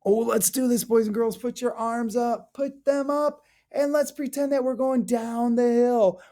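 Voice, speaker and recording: A man reading a picture book aloud to young children in an animated, playful way, with exaggerated questions and exclamations addressed straight to them. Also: extremely high pitch, measured pace. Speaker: elementary school educator reading aloud.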